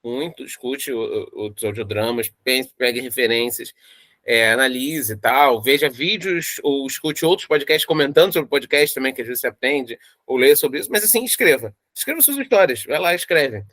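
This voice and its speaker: A man's voice: mid-range voice, expressive, moderate pace, a bit bright, no room sound. A man who writes audio dramas, giving earnest, encouraging advice in an interview and repeating his points.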